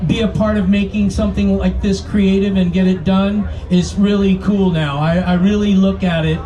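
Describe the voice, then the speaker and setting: A man's voice: high voice, flat delivery, moderate pace, warm tone, dull audio. A man in his fifties on a screening panel, reminiscing in a rambling, reflective way. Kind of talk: reminiscing to an audience. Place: screening event. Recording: distant mic with music behind.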